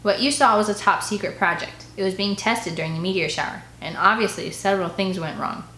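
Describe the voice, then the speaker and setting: A woman voicing a stern, authoritative dad in an audiobook, speaking in a measured, firm way. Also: mid-range voice, pushed and bright, roomy sound, slight background noise, lightly acted. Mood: explaining. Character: stern, authoritative father, government man.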